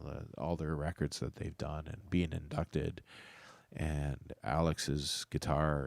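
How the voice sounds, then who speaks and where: A man's voice: very deep voice, vocal fry, no echo, clean studio sound. A man hosting a casual solo livestream chat, sounding stuffed-up and a little nasal, rambling and chuckling as he loses his train of thought. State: stuffed-up nose. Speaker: livestream host.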